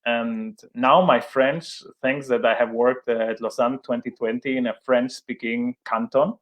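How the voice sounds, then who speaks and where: A man's voice: mid-range voice, steady tone, a little slow, fairly bright tone. Hesitant man, non-native English speaker. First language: not English. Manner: hesitant, many fillers and restarts, laughs at himself. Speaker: interview guest, sports event manager. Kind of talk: conversational interview.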